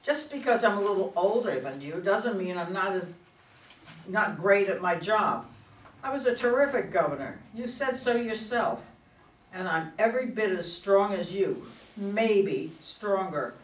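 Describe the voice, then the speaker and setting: A woman's voice: mid-range voice, lively intonation, measured pace, lightly acted. Recorded from a distance in an echoey room, an actress plays an older woman in politics, proud and combative. She speaks in an indignant, emphatic stage-play manner. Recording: echoey room, far from mic.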